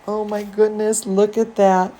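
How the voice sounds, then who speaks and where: A woman reading a picture book aloud to children, adding chatty asides in an expressive, drawn-out way. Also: medium pitch, slight background noise. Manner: animated, drawn-out, expressive delivery. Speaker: storyteller, dance studio teacher.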